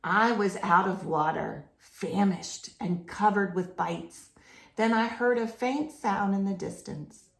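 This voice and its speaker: A woman reading a picture book aloud to children in an expressive, explanatory storytelling manner. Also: mid-range voice, slow pace, roomy sound. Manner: explains to young listeners with simple questions and expressive emphasis.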